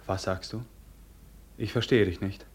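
An actor playing an anguished noble young outlaw in a radio drama, brooding and declamatory. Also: male voice, low-pitched, fairly even intonation, measured pace, breathy edge, heavily acted. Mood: guarded. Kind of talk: classic German radio drama acting.

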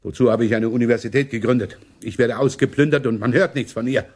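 A man's voice: medium pitch, steady tone, quick pace, a little mellow, lightly acted. A man acting a money-minded, imperious German prince in a radio play, sardonic and complaining in manner. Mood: impatient.

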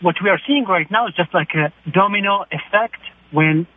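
A man's voice: high voice, normal speed. A man from Iraq calling in to a radio talk show, earnest and searching as he talks about his situation. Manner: earnest, searching, plain-spoken. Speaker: caller. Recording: phone line.

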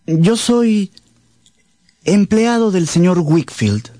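Dark-toned, slow cadaverous teenage clerk. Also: male voice, sits high, animated, no echo. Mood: subdued. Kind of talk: radio drama acting.

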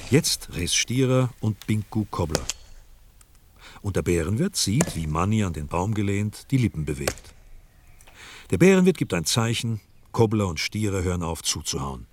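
A man's voice: lowish voice, background noise. A man narrating a grim village tale in a radio play with grave, dramatic delivery. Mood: tense.

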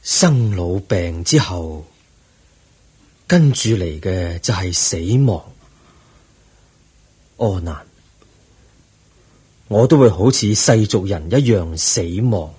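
An actor playing an aged, serene Buddha in a Cantonese radio drama, with a Hong Kong accent and a solemn, measured, husky delivery. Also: male voice, deep voice, animated, mellow, heavily acted. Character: aged, serene Buddha, eighty, weary yet calm teacher.

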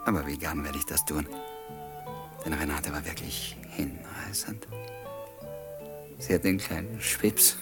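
A man's voice: very deep voice, unhurried, long pauses, over music, exaggerated voice. A man playing a bold but polite young suitor who also narrates his story in a German radio play. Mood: quiet, wistful. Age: young adult. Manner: courteous, provocative, crisp.

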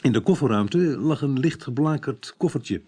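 An actor playing a businesslike, matter-of-fact police detective in a Dutch radio drama, speaking colloquially with slight hesitations. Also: male voice, mid-range voice, lively intonation, normal speed, dark tone, breathy edge. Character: businesslike male police detective reporting on a case. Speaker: police investigator.

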